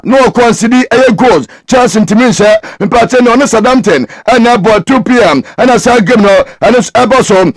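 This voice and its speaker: A man's voice: sits high, no echo, poor audio. A man rattling off football results and league tables, mixing English words into his speech. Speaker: sports news presenter. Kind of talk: fast football results rundown.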